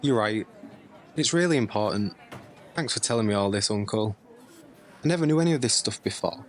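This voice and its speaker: An actor playing a curious, concerned young nephew in an audio drama, talking in an inquisitive, sympathetic way. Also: male voice, on the low side, expressive, fairly quick, some chatter behind, lightly acted. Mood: appreciative. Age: young adult. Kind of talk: scripted audio drama dialogue.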